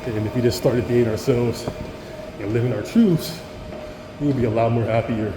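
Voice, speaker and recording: A man with a deep voice giving an earnest, rambling monologue to camera, restarting and stammering often. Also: fairly even intonation, normal speed, rough recording. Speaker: vlogger.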